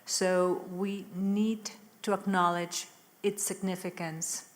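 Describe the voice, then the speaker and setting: A woman's voice: lowish voice, flat delivery. A woman ambassador giving a formal, orderly statement at a conference, setting out her points one by one. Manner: measured, orderly, lists points.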